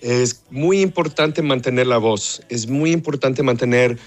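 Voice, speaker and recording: Halting Texan opera singer speaking Spanish. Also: male voice, mid-range voice. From Texas, half Mexican. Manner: hesitant, searching for Spanish words, mixes in English. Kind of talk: interview answers.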